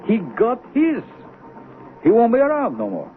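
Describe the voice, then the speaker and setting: A man's voice: extremely high pitch, moderate pace, full character voice. An actor in a 1970s radio drama playing an exasperated, colloquial everyday man passing on news. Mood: excited, exasperated. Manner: animated, colloquial. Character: exasperated everyday man bringing gossip to a fan. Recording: tinny narrow-band 1970s radio recording, noisy.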